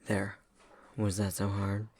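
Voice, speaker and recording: A man's voice: lowish voice, completely flat delivery, takes it slowly, darkish tone, slight background noise. A man playing a prickly, grudgingly caring elf academic in an ASMR roleplay, with a curt, haughty, exasperated delivery that often drops into whispers. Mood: brusque, grudging.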